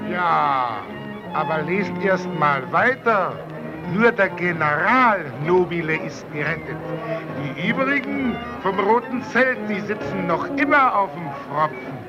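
A sober café patron in a vintage radio drama. The voice is high and the sound muffled, with loud music behind it. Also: male voice, animated, unhurried. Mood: sceptical. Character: sober café patron reading out grim news. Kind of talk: old radio drama acting. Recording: muffled old radio play recording.

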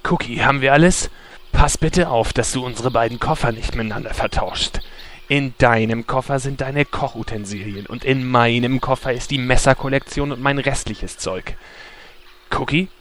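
A man playing a sardonic, threatening rogue adventurer in a German comedy fantasy audio drama, with a deadpan delivery. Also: mid-range voice, moderate pace, slight background noise, natural voice. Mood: suspicious.

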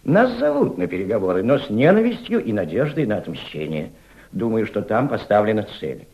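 Impassioned low-voiced Soviet diplomat. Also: male voice, very animated, lo-fi sound. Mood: grave, persuasive. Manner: didactic, rhetorical, emphatic, with deliberate repetitions. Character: impassioned senior Soviet diplomat patiently mentoring a young subordinate. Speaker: actor in an audio play. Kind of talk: Soviet-style radio drama acting.

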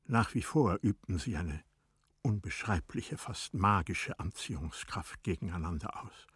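A man narrating a literary radio play in a grave, measured way, with deliberate pauses and a husky, breathy voice. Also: low-pitched, expressive, fairly bright tone, no echo, studio quality.

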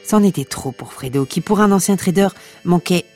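An actress playing a sardonic, teasing storyteller who narrates comic podcast fiction and scolds listeners with deadpan wit. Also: female voice, lowish voice, normal speed, faint music behind. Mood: mock-solemn.

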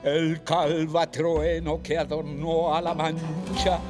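Male reciter, formal poetry, fairly high and flat in pitch, music behind. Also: slow pace. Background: instrumental music.

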